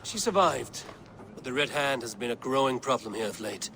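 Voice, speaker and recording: An actor playing a resolute, grim and forthright Viking warrior in a dramatic video game. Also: male voice, mid-range voice, animated, normal speed, sharp tone. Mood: firm, persuasive.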